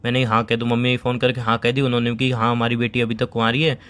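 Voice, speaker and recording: Man acting as a fast-talking, teasing young boyfriend. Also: mid-range voice, little pitch movement, a bit bright, lightly acted. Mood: sheepish, explaining. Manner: teasing, argumentative, mock-aggrieved. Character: teasing young boyfriend playing an aggrieved prankster. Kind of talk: scripted comic phone-call skit in casual chat.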